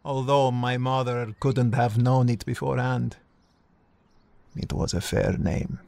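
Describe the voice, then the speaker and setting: A man acting a brooding, self-loathing narrator of his own life, speaking solemnly in poetic phrases with weighty pauses. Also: mid-range voice, steady tone, gravelly, dark tone, no room sound. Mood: solemn, wry. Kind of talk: dramatic first-person voice-over.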